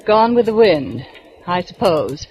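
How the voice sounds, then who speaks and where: An actress playing a prim young woman librarian who sticks to the rules, with crisp, precise diction, in 1940s radio acting style. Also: lowish voice, big pitch swings, measured pace, a little mellow. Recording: old radio broadcast with faint hiss.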